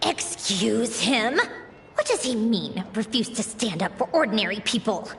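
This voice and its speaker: Proud female official, video game: high, haughty, highly expressive, music clearly behind. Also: measured pace. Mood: indignant.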